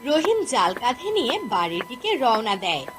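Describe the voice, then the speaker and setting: A woman's voice: a little high, very animated, fairly quick, music in the background. A woman narrating a scripted fairy tale for a cartoon as the storyteller.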